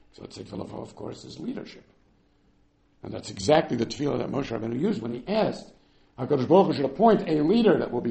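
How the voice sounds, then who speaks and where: A man's voice: fairly high pitch, vocal fry. A man teaching a Bible text, reading Hebrew verses aloud and then explaining them with emphatic, dramatic swings in delivery. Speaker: Torah teacher. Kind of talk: text-based Bible lecture.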